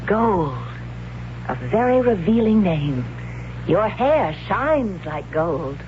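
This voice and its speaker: A woman's voice: mid-range voice, big pitch swings, takes it slowly, muffled recording. An actress playing an imperious, haughty female captor commanding her slave in a 1970s radio drama. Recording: band-limited old radio broadcast, faint hum.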